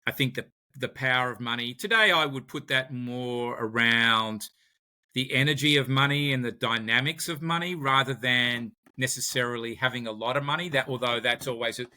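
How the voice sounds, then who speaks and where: A man's voice: mid-range voice, moderate pace, bright, forceful tone. An Australian man, a podcast guest, talking in a conversational interview and often repeating words as he searches for his phrasing.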